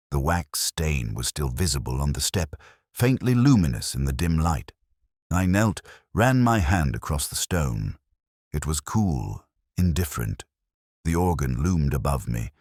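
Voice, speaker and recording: A man reading a scripted first-person detective story in a measured, brooding, reflective way. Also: rumbling low, a little mellow, husky, dry recording, studio quality. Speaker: narrator.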